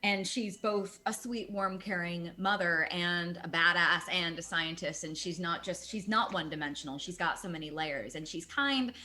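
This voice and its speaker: A woman's voice: fairly low pitch, normal speed, few pauses, bright, forceful tone. A woman voice actor, guest in a casual chat, talking earnestly in long run-on thoughts about her characters. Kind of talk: casual video-call chat.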